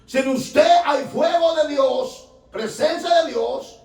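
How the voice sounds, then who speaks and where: A man, a pastor, preaching a fervent sermon that rises to shouts, recorded in a church from off the mic. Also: very high-pitched, slow pace, gravelly, far from the mic. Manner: fervent preaching, rising to shouts, filler "hermano". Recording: echoey church room, voice from off-mic.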